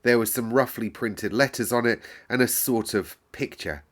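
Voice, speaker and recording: A man reading a classic children's novel aloud in a calm, measured storyteller's way. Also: mid-range voice.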